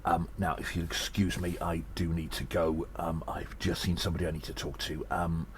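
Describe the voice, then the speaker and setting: A low-voiced, earnest gnome adventurer acted by a man delivers an audio drama report. He sounds worried and urgent, with hesitant phrasing. There is noticeable noise in the recording. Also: flat delivery, moderate pace, lightly acted. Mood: grave, determined. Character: earnest, worried gnome adventurer rallying allies against an attack. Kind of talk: audio drama monologue, spoken as a personal report.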